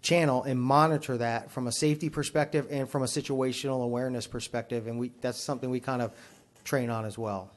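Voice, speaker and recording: A man with a mid-range voice speaks as a fire chief at a public meeting. He explains his points formally and in measured phrases, answering questions put to him. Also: medium pitch, steady tone.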